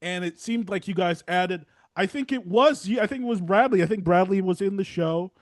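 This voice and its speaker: A man's voice: high voice, moderate pace, no echo, clean studio sound. A man hosting a podcast interview in a rambling, informal, slangy way. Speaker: podcast host. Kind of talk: casual interview chat.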